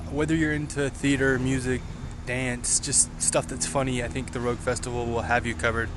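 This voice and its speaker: A man's voice: medium pitch, little pitch movement, brisk. American man talking on a noisy street. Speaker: guest recommending local events. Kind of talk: casual talk to camera. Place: outdoors on a city street. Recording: noisy outdoor street, passing cars.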